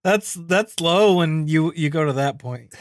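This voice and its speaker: A man's voice: fairly high pitch, lively intonation, a little slow, no room sound, clean studio sound. A man co-hosting a podcast in casual comic commentary, tossing in wry, exasperated quips. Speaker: podcast co-host.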